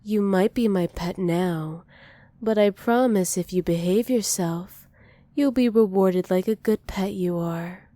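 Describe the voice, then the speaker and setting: A woman playing a dominant, possessive villain who dotes on a captive, softly menacing and teasing in an ASMR roleplay. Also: medium pitch, a little slow, no echo, natural voice. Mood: commanding, possessive. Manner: soft, teasing menace with breathy whispered endearments.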